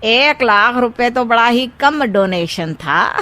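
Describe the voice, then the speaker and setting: A woman's voice: fairly high pitch, lively intonation, slow pace, bright, forceful tone, exaggerated voice. A woman playing an oily, swaggering male village gangster in a story, boastful and falsely friendly. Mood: smug, mock-friendly.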